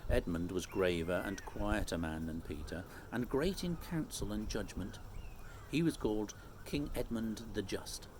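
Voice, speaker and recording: A man reading a children's story aloud expressively, phrase by measured phrase. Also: lowish voice. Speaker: storybook reader. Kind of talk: expressive scripted story narration. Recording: faint background noise.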